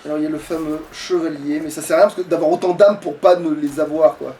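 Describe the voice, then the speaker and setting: A man's voice: a little high, fairly even intonation, fast, a little mellow. A man bantering casually while he plays a video game, crude and mock-complaining, often repeating himself. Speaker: let's play player commentating. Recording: distant mic, faint background noise.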